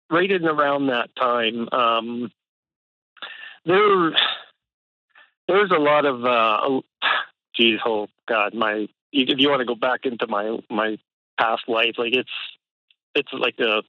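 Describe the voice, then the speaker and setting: A man's voice: medium pitch, no echo. A middle-aged man, calling in and telling his story hesitantly, with many fillers and nervous laughs. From Canada. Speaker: caller. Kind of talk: casual phone-in conversation. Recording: dull phone-call audio.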